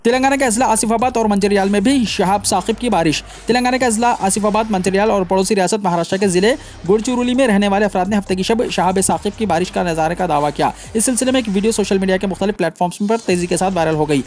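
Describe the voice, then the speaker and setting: High-pitched, fast male news reader. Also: soft background music. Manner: formal, headline-then-story delivery. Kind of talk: scripted news narration.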